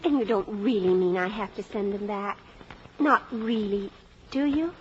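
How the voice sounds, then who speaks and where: An actress playing an earnest, rebellious teenage daughter in an old-time radio drama, emotional and insistent. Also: female voice, medium pitch, measured pace, a little hiss or hum, natural voice. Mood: pleading. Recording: muffled old radio broadcast.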